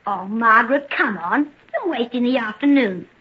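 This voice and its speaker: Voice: mid-range voice, lively intonation, normal speed, breathy edge. A performer playing a bossy, petulant young boy in an old-time radio drama. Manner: petulant, demanding. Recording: dull old radio recording.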